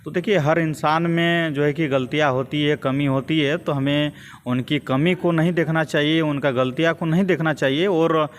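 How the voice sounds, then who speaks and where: A young man with a Jharkhand Hindi accent answering as a political activist in an interview, in a measured, hesitant way with repeated phrases. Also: fairly high pitch, fairly even intonation. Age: young adult.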